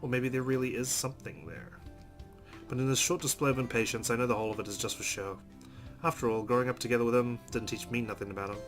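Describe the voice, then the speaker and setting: A male gamer with a medium-pitched voice reads story text aloud for a let's play. His delivery is expressive, moving between narration and casual commentary. Faint game music plays behind him. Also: fast. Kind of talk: expressive reading of visual novel narration with casual asides.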